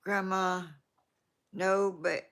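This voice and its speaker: Slow gravelly Georgia grandma in her eighties. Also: mid-range voice, little pitch movement, a bit bright. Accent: Southern US, Georgia. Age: 80s. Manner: slow rambling, trails off and restarts. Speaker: vlogger reading viewer comments. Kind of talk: casual rambling chat.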